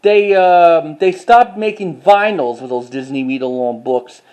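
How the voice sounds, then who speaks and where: A man with a distinctly high-pitched voice presents collected items in a casual vlog style. His delivery is informal and halting, and he often repeats phrases as he moves through his show-and-tell. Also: darkish tone, a little hiss or hum. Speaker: collector showing items to camera.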